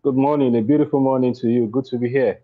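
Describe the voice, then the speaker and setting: A man's voice: mid-range voice, steady tone, quick pace, phone-quality sound. A Nigerian man, a playwright and producer, talking over a video call, hesitant with many fillers and restarts.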